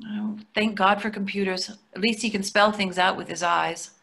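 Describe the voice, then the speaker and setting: A woman's voice: mid-range voice, fairly even intonation, normal speed, gravelly, a bit bright. An older woman reading the part of a practical, exasperated wife in a stage play, dry and matter-of-fact. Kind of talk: readers theatre play reading. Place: plain room indoors, video call.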